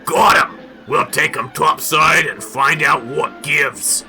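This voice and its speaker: A man's voice: a little high, takes it slowly, pushed and bright, a little hiss or hum, full character voice. A man voicing a bossy comic-book villain boss who gives melodramatic orders to henchmen. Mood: commanding. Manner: melodramatic, commanding. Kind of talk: comic-book dramatic reading.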